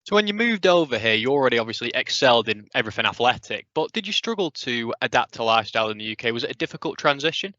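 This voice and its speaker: A man's voice: medium pitch, very animated, quick pace, few pauses, sharp tone, no room sound, lo-fi sound. A man conducting a sports interview, putting fluent, articulate questions in a conversational way.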